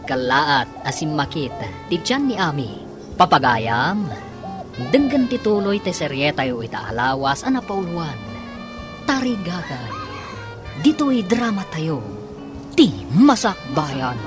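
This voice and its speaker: A woman's voice: sits low, big pitch swings, slow. A woman with a Filipino accent, reading scripted credits and dramatic narration as a radio drama announcer. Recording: music bed behind the voice. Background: background music.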